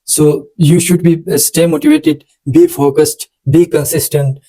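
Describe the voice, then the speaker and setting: A man whose first language is Urdu, speaking English with an Urdu accent, hosting an English-learning channel and giving informal advice to viewers in a halting way, full of hesitant fillers. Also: fairly high pitch, fairly even intonation, dark tone, low-quality recording.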